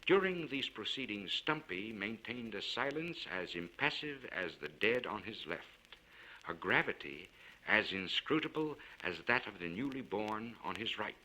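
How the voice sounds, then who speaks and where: A man reading a literary story aloud in a measured, formal and expressive way. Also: fairly low pitch, slight background noise, rough recording. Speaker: audiobook narrator.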